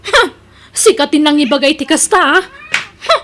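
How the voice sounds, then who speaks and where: A woman plays a quarrelsome, fed-up wife with an Ilocano accent. Her voice is extremely high-pitched, and she scolds and accuses with a sharp tongue in audio drama style. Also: sing-song, a little slow, slight background noise, full character voice. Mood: scolding, fed up.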